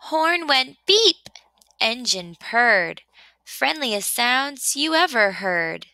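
A woman reading a children's picture book aloud expressively, with deliberate, rhythmic delivery of the rhymes. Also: fairly high pitch, sing-song, pushed and bright, no echo. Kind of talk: expressive storybook read-aloud.

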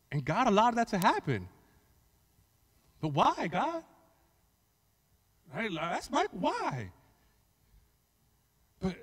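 A man in his thirties preaching a sermon as a pastor, conversational and emphatic, with rhetorical questions, on a handheld mic in a church. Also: high voice. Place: large church room with stage, indoors. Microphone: handheld microphone. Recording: echoey hall with long reverb.

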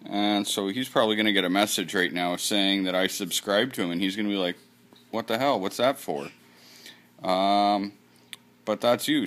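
A man's voice: sits low, a bit bright. A man hosting his channel giveaway, talking in a rambling, offhand way and swearing casually while he films his computer screen. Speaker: channel host running a giveaway. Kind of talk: casual screen-recorded vlog.

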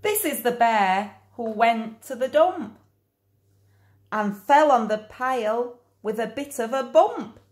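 A woman reading a children's picture book aloud in an expressive, deliberate storytelling manner. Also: a little high, echoey room. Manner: slow, animated, deliberate storytelling.

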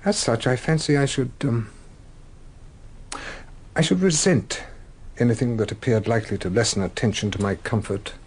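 An actor playing an eccentric older English intellectual, halting and donnish, in a radio drama. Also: male voice, mid-range voice, a little mellow, slightly rough, natural voice. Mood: musing. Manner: halting, donnish, rhetorical questioning.

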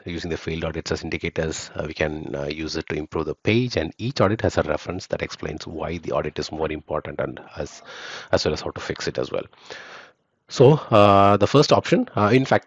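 Low-voiced male tutor, hesitant explainer, dull dry home-mic voice-over. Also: no echo. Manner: explanatory, frequent fillers and restarts. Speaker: software testing instructor. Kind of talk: screen-recorded tutorial walkthrough.

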